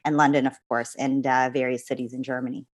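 A woman's voice: extremely low pitch, little pitch movement, fast talker, no echo, clean studio sound. A young woman from Canada and the Philippines, a law student guest, telling her story reflectively and laughing now and then. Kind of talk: podcast interview.

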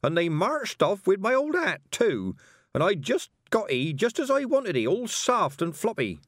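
A man's voice: sits high, huge pitch swings, measured pace, no room sound, studio quality, pitched above the performer's own voice, full character voice. A man voicing a very old village man, complaining in a rustic dialect. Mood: grumbling. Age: older. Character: grumbling oldest man in the village.

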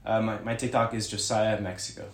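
A man's voice: lowish voice, level tone, fairly quick. A young man who is a guest on a podcast, chatting casually in a rambling way and correcting himself. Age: young adult. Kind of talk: casual interview chat. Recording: echoey room.

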